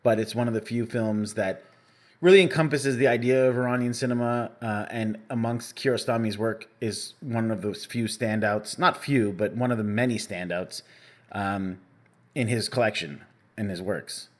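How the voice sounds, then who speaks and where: Low-voiced man co-hosting a podcast. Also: moderate pace. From Canada. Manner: relaxed, rambling, many fillers. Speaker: podcast co-host. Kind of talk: casual chat.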